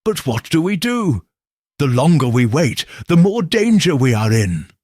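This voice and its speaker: A man's voice: fairly high pitch, big pitch swings, unhurried, darkish tone, no room sound, clean studio sound, pitched above the performer's own voice. A man doing an anxious old nobleman. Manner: uneasy, anxious.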